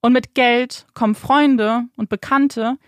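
A young woman co-hosting a podcast, narrating a crime case in a slow, suspenseful storytelling manner with rhetorical questions. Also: fairly high pitch, fairly even intonation, no echo. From Germany, raised bilingual by Polish parents. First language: Polish. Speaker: podcast co-host telling the case. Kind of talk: dramatic true crime storytelling. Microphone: studio microphone.

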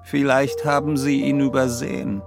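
Man voicing an insistent young man, raised pitch. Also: flat delivery, normal speed, gravelly, over music, close to an everyday voice. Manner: polite, insistent, murmuring. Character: calm, insistent long-haired young man seeking a treasure.

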